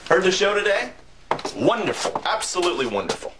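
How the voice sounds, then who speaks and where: Ingratiating, lively-pitched 1930s executive man. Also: fairly quick, heavy background noise. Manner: ingratiating, hedging, repeats himself. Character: smooth-talking, uneasy male corporate radio executive of 1930s. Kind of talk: period television drama acting.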